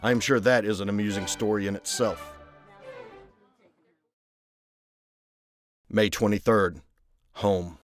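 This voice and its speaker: Guy playing a brooding young gentleman, low solemn voice, formal, motion comic w music behind. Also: normal speed, close to an everyday voice. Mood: reflective. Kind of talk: dramatic motion-comic acting and diary narration.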